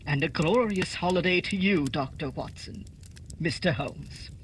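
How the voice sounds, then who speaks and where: A man acts a humble old-fashioned gentleman in a radio play, effusive with thanks. His voice sits high, and there is clear noise on the recording. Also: a little slow, lightly acted. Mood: grateful. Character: humble, grateful, flowery-spoken poor gentleman. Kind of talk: radio drama acting.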